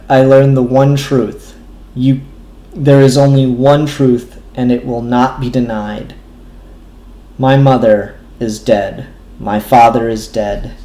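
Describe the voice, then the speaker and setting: A man reading the part of a wounded, stubborn grown son in a comic book, earnest and deliberate. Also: medium pitch, warm tone, natural voice. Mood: grim, defiant. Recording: echoey room.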